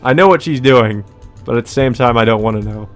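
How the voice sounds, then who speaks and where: A man's voice: mid-range voice, fairly quick, soft background music. A man doing a casual let's play, reading story text aloud and adding informal, sweary asides. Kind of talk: casual commentary and read-aloud narration.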